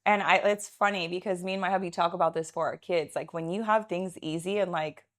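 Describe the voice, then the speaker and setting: A woman's voice: lowish voice, steady tone, a little fast. A woman in her thirties whose first language is Russian, chatting casually as an interview guest with many "like" fillers. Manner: chatty, frequent "like" fillers, laughs easily. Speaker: guest, realtor and entrepreneur.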